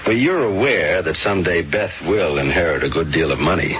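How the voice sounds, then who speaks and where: An actor playing a measured, questioning wealthy father in an old radio drama. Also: low voice, lightly acted. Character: wealthy middle-aged father, probing and dry. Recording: dull old radio recording, faint hiss.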